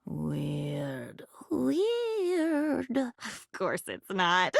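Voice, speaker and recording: A woman's voice: mid-range voice, huge pitch swings, extremely slow, vocal fry, no room sound, studio quality, heavily acted. A woman playing an anxious, insecure living diary in a video game, morbid and erratic, with fragmented, theatrical delivery that veers between muttering and outbursts. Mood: hushed, haunted.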